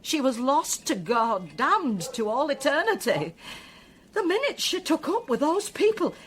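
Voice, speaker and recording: A woman acting in a radio drama as a distraught, devout mother, speaking in a halting, emotional way. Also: sits high, very animated. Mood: bitter grief.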